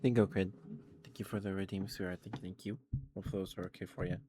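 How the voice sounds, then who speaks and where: A man doing casual ASMR livestream chat into a close microphone, musing in a hesitant, unhurried way. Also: sits low, fairly even intonation, noisy background.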